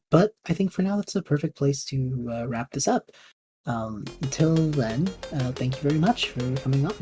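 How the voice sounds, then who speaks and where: A man's voice: medium pitch, dark tone. A man hosting a podcast, chatting casually in an encouraging, enthusiastic way. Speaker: podcast host. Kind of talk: casual interview, wrapping up the show.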